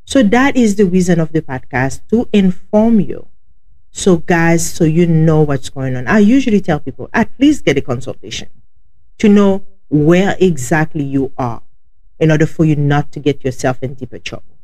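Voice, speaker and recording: A woman's voice: sits low, lively intonation, normal speed, dark tone. A woman with a heavy Haitian accent, a native Haitian Creole speaker, hosting a podcast and chatting directly to her listeners. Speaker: podcast host. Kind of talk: casual podcast chat.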